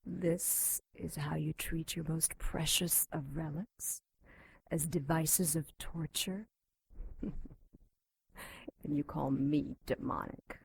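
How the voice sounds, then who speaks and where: A woman's voice: low voice, steady tone, slow pace, creaky, pauses often, husky, no room sound, full character voice, low-quality recording. An actress playing a captive female demon in an audio drama, seductive, sardonic and defiant, with a theatrical, taunting delivery. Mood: bitter mockery.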